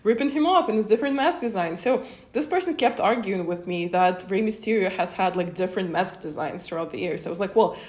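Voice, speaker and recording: A woman's voice: mid-range voice, expressive, fast talker, hardly any pauses, a little mellow. Young woman guest, talkative and giggly, casual interview chat. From Spain. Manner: chatty, laughs often, many fillers. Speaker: interview guest, wrestling show host.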